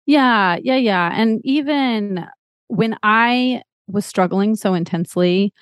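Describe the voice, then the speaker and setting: A woman's voice: medium pitch, slow, clean tone, no echo. Earnest woman in her forties, dry sound. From Texas. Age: 40s. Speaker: lactation counselor guest. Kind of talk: podcast interview, personal storytelling.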